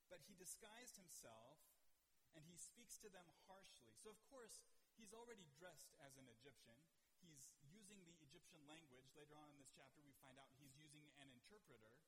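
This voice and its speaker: A man's voice: on the high side, animated, a little fast, fairly bright tone. A man preaching a sermon in earnest, often repeating words as he builds a point, in a church sanctuary. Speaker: pastor. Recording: distant mic with hall reverb and faint hiss.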